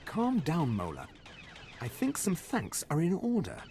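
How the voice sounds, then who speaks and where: Animated, exasperated worried professor. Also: male voice, a little high, measured pace, exaggerated voice. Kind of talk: video game dialogue. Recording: noisy game ambience.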